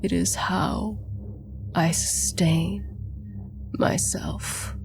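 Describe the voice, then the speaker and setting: A woman voicing a calm, ancient male ghoul with a raspy whisper in a storybook horror tale. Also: on the low side, over music. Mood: calm menace. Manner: slow, calm, breathy whispering.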